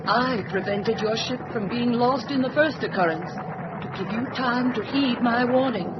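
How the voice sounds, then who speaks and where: A calm alien visitor, played by an actor in an old-time radio drama, lecturing humanity in measured, formal phrases with lofty superiority. The sound is muffled, with a loud engine rumble underneath. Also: close to an everyday voice. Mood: calm, ominous.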